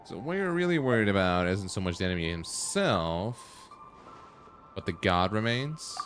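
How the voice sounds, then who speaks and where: A man's voice: deep voice, very animated, normal speed, a bit bright. A man giving casual live commentary on a video game, with dry, wry asides. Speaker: streamer. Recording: game music behind the voice. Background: game music.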